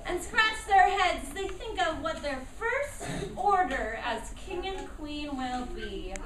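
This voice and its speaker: A woman narrating a children's puppet show live, animated and encouraging as she directs the children. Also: high-pitched, slow. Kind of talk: live storytelling to young children. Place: indoor room at a school show. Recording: noisy echoey room, distant microphone.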